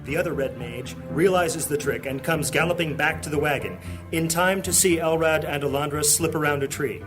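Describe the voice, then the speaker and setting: A man narrating a high fantasy audio drama as a solemn, scripted storyteller. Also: moderate pace, music in the background, close to an everyday voice, poor audio.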